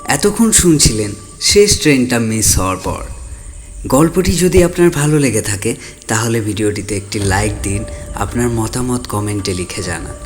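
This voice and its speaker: A man's voice: on the low side, expressive, normal speed. A man reading a scripted outro as the announcer of an audio story channel. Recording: music behind the voice.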